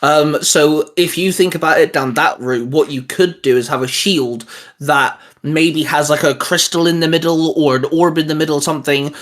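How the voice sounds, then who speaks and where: A man's voice: on the high side, fairly even intonation, normal speed, a bit bright. A British man hosting a casual chat, brainstorming ideas with enthusiasm. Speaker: podcast host.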